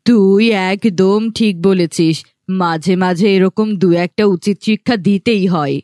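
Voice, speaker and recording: A woman's voice: medium pitch, unhurried, mellow, no echo, clean studio sound, lightly acted. A woman voicing a cruel, bossy middle-aged village stepmother in a cartoon, scornful and scolding. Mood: sly approving. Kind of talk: cartoon voice acting.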